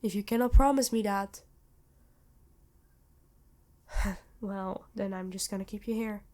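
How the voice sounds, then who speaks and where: A woman voicing a worried, protective vampire queen in an ASMR roleplay, earnest and measured, with dramatic pauses. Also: medium pitch, steady tone, mellow, lightly acted. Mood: solemn, worried. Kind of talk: ASMR roleplay acting addressed to listener.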